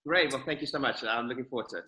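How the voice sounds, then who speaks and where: A man's voice: mid-range voice, racing, pushed and bright, reverberant. A man with a South African accent, talking as an enthusiastic, upbeat podcast guest. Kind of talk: casual interview.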